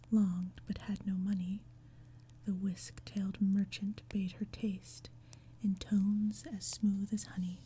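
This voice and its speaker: Very soft, dark whispering woman. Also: medium pitch. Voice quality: breathy whisper. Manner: slow, gentle, measured storytelling. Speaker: ASMR reader. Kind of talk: soft whispered ASMR poetry reading. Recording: steady background ambience, crackling fire, forest sounds. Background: crackling fire and forest sounds.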